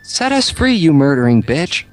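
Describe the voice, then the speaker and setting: A man's voice: a little high, big pitch swings, moderate pace, faint music behind, voice pushed up. A man voicing the pleading, insistent ghost of a wronged young man in an amateur radio play. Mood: bitter, accusing. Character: pleading young male ghost, mayor's murdered son, bitterly wronged.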